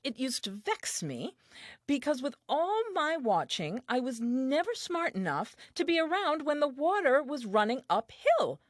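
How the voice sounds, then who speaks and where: A woman's voice: on the high side, no room sound, studio quality. An actress playing an earnest, naive and tender first woman, telling her diary in an audio play with wide-eyed expression.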